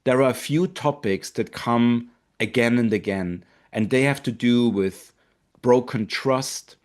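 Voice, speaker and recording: A man in his fifties from Austria, with an Austrian German accent, hosting a live online webinar in an earnest, gentle way with fillers and pauses. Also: medium pitch. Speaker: webinar host, marketing director.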